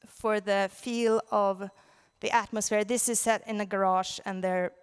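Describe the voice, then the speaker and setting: A woman with a Swedish accent presenting as a conference speaker, in a halting way, searching for words. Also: mid-range voice, steady tone, clear, resonant tone. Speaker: conference speaker, audio drama producer. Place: large indoor conference venue. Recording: reverberant conference hall.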